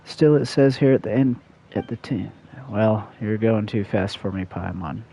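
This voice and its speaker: A man's voice: on the low side, normal speed, warm tone, slightly rough. A man giving casual live gameplay commentary, musing aloud to himself, on a dull lo-fi microphone. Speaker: game streamer. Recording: dull lo-fi mic, faint noise.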